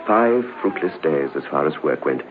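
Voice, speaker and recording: An actor playing the narrator of an old-time radio ghost story, telling it in a measured, suspenseful way. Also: male voice, extremely low pitch, lively intonation. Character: storyteller recounting a friend's eerie experience. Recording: muffled old radio broadcast, faint noise.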